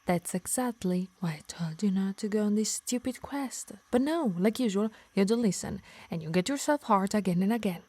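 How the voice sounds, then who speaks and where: A woman's voice: medium pitch, normal speed, hardly any pauses, darkish tone, husky, no room sound, close to an everyday voice. Doting, scolding harpy played by a woman, dry close sound. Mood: exasperated, scolding. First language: Italian. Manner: teasing, scolding, sighing. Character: possessive, doting, scolding female harpy healer. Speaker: ASMR roleplay performer. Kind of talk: ASMR roleplay acting.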